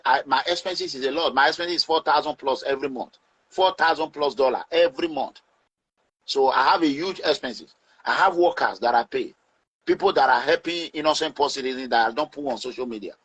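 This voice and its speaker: A Nigerian man speaking in a forceful, preaching way as he gives advice to his online audience. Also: on the high side, moderate pace, breathy edge, low-quality recording.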